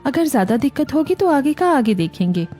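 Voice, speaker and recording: A woman playing a devoted young daughter-in-law in a cartoon family story, gentle, caring and respectful. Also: high-pitched, very animated, fairly quick, faint music behind, voice pushed up. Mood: patient, reassuring. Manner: reassuring, respectful.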